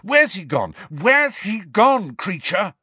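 A hot-headed teenage boy in a fantasy audiobook, acted out by a male reader. He is pitched high with lively swings. His manner is urgent, demanding and defiant. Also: a little slow, no echo, heavily acted.

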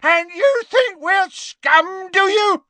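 A man's voice: sits high, huge pitch swings, measured pace, a bit bright, no echo, voice pushed up, full character voice. A man voicing a belligerent, sneering old wizard father in an audiobook, bellowing and jeering. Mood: outraged.

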